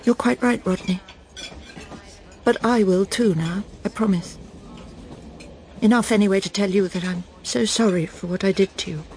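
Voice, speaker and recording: A woman's voice: medium pitch, normal speed, long pauses, darkish tone, slight background noise, lightly acted. An actress playing a proper middle-aged Englishwoman in a radio drama, with an English accent. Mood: relieved, contrite. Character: smug middle-aged Englishwoman, lost and breaking down.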